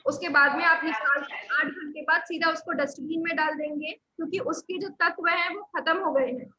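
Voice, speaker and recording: A woman's voice: high-pitched, steady tone, normal speed, bright, forceful tone, reverberant, lo-fi sound, slight background noise. A woman teaching a live online class on acupressure therapy, explaining steps patiently and repeating key points. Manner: patient, repetitive step-by-step instruction. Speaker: Sujok therapy instructor.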